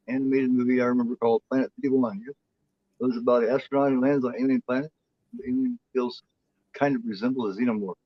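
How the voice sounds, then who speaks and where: A man's voice: medium pitch, no room sound. Guy in his 40s, swears a lot, rambly casual chat, dry muffled lo-fi sound. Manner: profane, rambling and blunt. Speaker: co-host.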